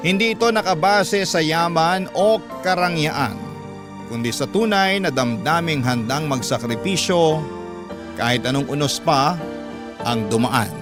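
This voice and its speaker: A man's voice: fairly high pitch, measured pace. A man narrating dramatically as a radio storyteller, reading a listener's letter. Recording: music playing behind the voice. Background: instrumental music.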